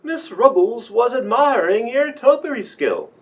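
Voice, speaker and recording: Man as high-voiced, imperious lord of the manor. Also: animated, measured pace, heavily acted. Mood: genteel, commanding. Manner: pompous, formal. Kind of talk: radio play acting.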